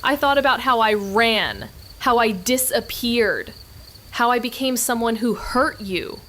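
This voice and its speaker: Night insects sit behind a high-pitched young woman. She is guilt-ridden and anguished, confessing slowly and haltingly. It is naturalistic, emotional audio drama. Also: expressive, fairly bright tone, slight background noise. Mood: hurt, defensive.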